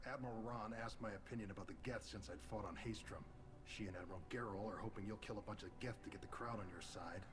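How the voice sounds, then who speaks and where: Man acting deep-voiced, blunt space marine. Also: quick pace, natural voice. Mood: frank, concerned. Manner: blunt, respectful, military. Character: gruff, loyal, plain-spoken alien marine. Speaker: soldier. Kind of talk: video game dramatic dialogue. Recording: game dialogue over loud ship ambience.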